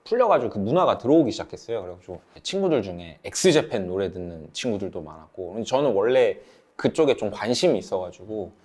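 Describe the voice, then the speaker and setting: A man's voice: lowish voice, big pitch swings, fast, darkish tone, husky. A man in his thirties from South Korea telling personal stories in a casual group chat. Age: 30s. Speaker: channel member sharing study-abroad experience.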